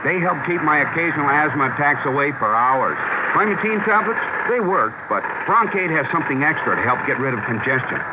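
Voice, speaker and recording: A man's voice: fairly high pitch, expressive, fairly quick, close to an everyday voice. Guy acting as hardworking road crew man in old radio ad, plain talk, lots of noise. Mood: plain-spoken, earnest. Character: hard-working, plain-spoken male road worker. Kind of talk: 1970s radio testimonial ad acting. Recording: tinny radio recording, loud noise.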